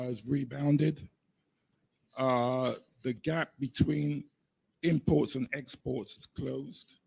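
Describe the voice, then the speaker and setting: Hoarse, gravelly male reporter with flu. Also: mid-range voice, dark tone. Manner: hesitant, with many fillers. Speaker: journalist. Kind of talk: press question.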